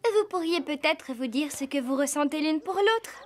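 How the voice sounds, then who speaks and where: A woman's voice: sits high, expressive, normal speed. A woman voicing a helpful, bossy girl at a horse ranch in a French cartoon dub. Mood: insistent. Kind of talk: French animation dubbing. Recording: music bed under the dialogue.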